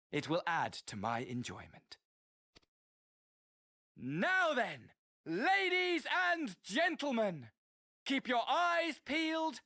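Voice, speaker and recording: A man's voice: high-pitched, fairly bright tone, heavily acted. An actor playing a gleefully nihilistic villain mastermind in a video game, mocking and grandiose like a mad showman. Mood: cold, bored contempt, then grandiose showmanship. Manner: grandiose showman, swinging from mocking courtesy to mania. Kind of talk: theatrical video game voice acting. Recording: game audio with music behind.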